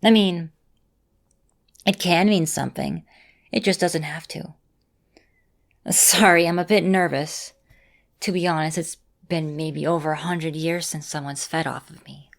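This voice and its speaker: A woman playing an affectionate vampire mistress in an ASMR roleplay, speaking in a gentle, reassuring and softly teasing manner. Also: sits low, steady tone, measured pace, gravelly, pauses often. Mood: flustered, teasing. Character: affectionate centuries-old female vampire mistress, encouraging mentor.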